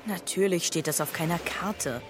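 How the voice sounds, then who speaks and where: A woman's voice: on the low side, normal speed, music in the background, lightly acted. A woman playing a cheeky, boastful truant schoolboy in a children's radio play, flippant and taunting.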